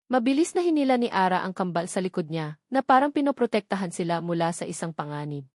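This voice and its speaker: A woman narrating a scripted romantic drama in a solemn, measured way, pausing for suspense. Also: on the low side, very animated, a little mellow, dry recording, clean studio sound. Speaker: story narrator.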